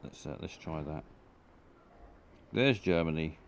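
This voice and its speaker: A man's voice: rumbling low, lively intonation, a little slow, slight background noise. A man streaming live, rambling in a dry, self-mocking way while reading out viewers' chat. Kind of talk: casual live-stream commentary.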